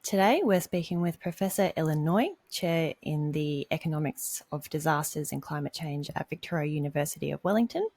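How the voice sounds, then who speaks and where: A woman's voice: low-pitched, gravelly, darkish tone, no room sound. A woman, co-hosting a podcast and reading a scripted intro. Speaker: podcast co-host. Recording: dry close sound with faint music.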